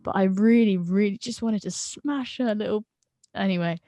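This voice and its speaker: A woman from the UK, a guest friend on a video call, talking frankly and in an animated way, often stumbling over her words. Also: mid-range voice, moderate pace, no room sound. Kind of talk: casual video-call chat.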